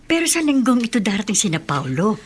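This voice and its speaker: A woman's voice: fairly high pitch, very animated, fast, slightly rough. An actress playing a mild, hesitant, deferential woman in a radio drama. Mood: reluctant, yielding.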